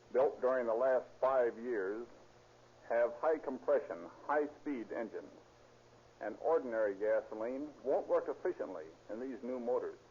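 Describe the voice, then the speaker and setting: A man speaking as an engineer guest, explaining stiffly and emphatically in a scripted 1930s radio testimonial. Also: medium pitch. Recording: muffled, noisy 1930s radio recording.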